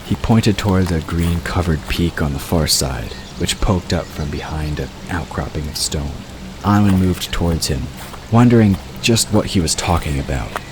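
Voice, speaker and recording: A man's voice: sits low, lively intonation, normal speed. A man reading scripted fiction as the narrator of an audio drama. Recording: clear ambient noise under voice.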